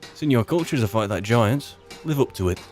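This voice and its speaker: A man's voice: mid-range voice, expressive, brisk, darkish tone, faint music behind. A man improvising in character as he plays a fantasy game, with wry, hesitant muttered asides. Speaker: roleplay video maker and player.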